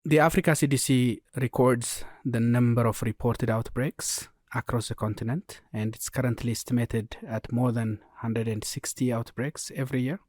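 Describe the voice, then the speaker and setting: A man with an Ethiopian accent, explaining as an expert guest in a podcast interview in a measured way. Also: mid-range voice, fairly even intonation, darkish tone, no echo, studio quality. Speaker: genomics programme lead, interview guest.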